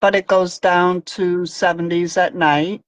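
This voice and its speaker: A woman hosts a live crafting chat over a video call, rambling and hesitant. Her speech is unhurried and lowish for a woman. The sound is dry and close. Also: fairly even intonation, dry recording. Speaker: host crafter.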